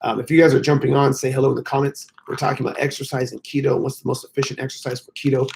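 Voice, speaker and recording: Male fitness coach, hoarse and gravelly, casual rambling live-stream chat, mid-pitched voice. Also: flat delivery, racing, a little mellow. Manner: rambling, frequent fillers. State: hoarse, losing his voice.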